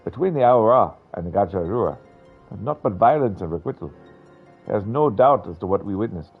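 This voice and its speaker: A florid, dramatic male adventurer, acted out by a man for a game, with a low and raspy voice. He speaks in formal, storybook phrases with a fearful streak. The sound is narrow and dull, with music behind. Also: lively intonation, brisk. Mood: haunted, dramatic. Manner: grandiloquent, dramatic.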